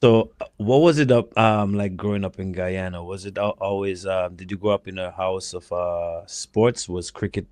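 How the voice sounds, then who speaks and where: Low-voiced male podcast host, dry sound. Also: fairly even intonation, a little mellow, no room sound. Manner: encouraging, quick affirmations. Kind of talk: casual interview chat.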